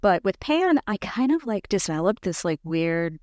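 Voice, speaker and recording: A woman in her forties with a squeaky voice, chatting casually as a podcast guest and leaping up in pitch when quoting herself. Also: very animated, normal speed, a little mellow, no echo, clean studio sound. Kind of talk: casual chat.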